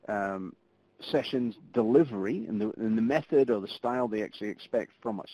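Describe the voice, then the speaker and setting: A man's voice: mid-range voice, mellow, no room sound. A middle-aged man giving a live online training presentation, chatty and full of fillers and restarts. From Scotland. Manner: conversational, lots of fillers and restarts. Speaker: webinar presenter. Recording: muffled web conference audio.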